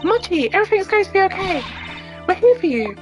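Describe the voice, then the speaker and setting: A streamer voicing an excitable, pushy young girl assistant in a video game, eager and emphatic. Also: very high voice, a little fast, over music, voice pushed up. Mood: gentle, eager. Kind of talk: amateur voice acting of a visual novel game.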